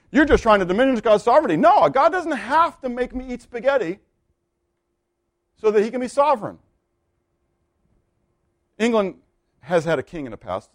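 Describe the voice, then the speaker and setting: A man preaching a sermon, animated and full of questions for his listeners. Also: sits high, dry recording, clean studio sound. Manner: animated, asks the congregation questions. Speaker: pastor.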